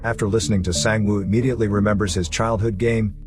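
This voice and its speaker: A man with a fairly low voice delivers scripted recap narration. He tells a story as a narrator, and music plays clearly underneath his voice throughout. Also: steady tone, fast talker. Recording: music running behind the voice. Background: background music.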